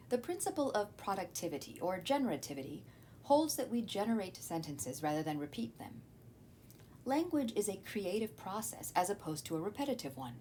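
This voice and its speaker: A woman narrating a scripted educational video as a teacher, explaining clearly. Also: mellow. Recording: noticeable low rumbling background noise.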